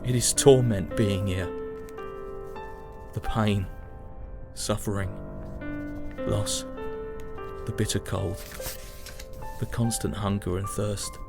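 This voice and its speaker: An actor playing a weary young British soldier of the First World War in a sombre, reflective audio drama monologue. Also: male voice, lowish voice, creaky. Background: prominent music.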